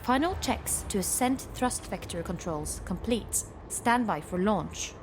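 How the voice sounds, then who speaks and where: A woman's voice: mid-range voice, expressive, unhurried. A woman voicing a composed female spaceship AI for a space game, confirming commands in a formal, clipped, efficient way. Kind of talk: scripted voice assistant responses for a space game. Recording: music playing behind the voice. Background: music.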